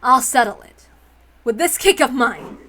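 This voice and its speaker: A woman voicing a calm, confident young male fighter in a visual novel, deliberate and coolly menacing. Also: on the high side. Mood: coolly determined.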